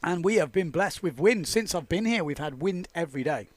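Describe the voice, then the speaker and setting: A man's voice: a little high, expressive, fairly quick, dry recording. A man giving chatty, upbeat live commentary on a windsurfing race, joking with his co-commentator. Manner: chatty, upbeat, jokey banter.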